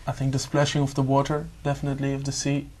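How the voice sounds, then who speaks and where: A man's voice: mid-range voice, monotone, fast, darkish tone. A young man with a Dutch accent, a candidate in a speaking exam, answering hesitantly with many filler sounds. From Netherlands. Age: young adult.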